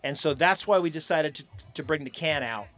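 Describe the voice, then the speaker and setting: A middle-aged American man talks as the guest in a casual outdoor interview. His voice sits a little higher than average. He speaks in stop-and-go phrases into a handheld microphone. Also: expressive, a little fast, a bit bright, faint music behind. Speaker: hemp farm owner being interviewed. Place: outdoors on a festival lawn.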